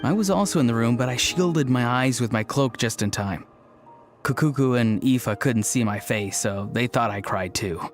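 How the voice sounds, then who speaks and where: Male actor, calm friendly young man, game dialogue, mid pitch, earnest storyteller, playful, faint music. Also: normal speed, natural voice. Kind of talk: video game voice acting.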